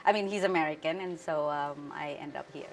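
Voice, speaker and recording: Woman, forties, Javanese first language, low-pitched, thoughtful, fillers and restarts. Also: fairly quick, slight background noise. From Central Java, Indonesia. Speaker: interview guest, news anchor. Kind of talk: conversational interview.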